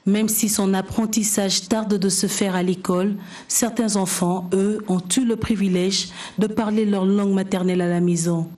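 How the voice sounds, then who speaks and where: A woman's voice: medium pitch, steady tone, normal speed, a little mellow. A woman reporter narrating a television news report in a formal, scripted way.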